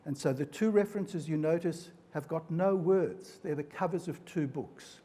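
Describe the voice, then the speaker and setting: A man's voice: a little high, normal speed, mellow. A man whose mother tongue is Hungarian, a clinician giving a live presentation in an explanatory, didactic manner. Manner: explanatory, didactic, speaks in metaphors. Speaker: clinician presenting at a mental health forum.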